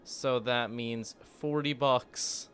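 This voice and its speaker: A man's voice: medium pitch, steady tone, slow, fairly bright tone, faint music behind. A man streaming a city-building game, chatting casually and joking with sarcasm. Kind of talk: casual gameplay commentary.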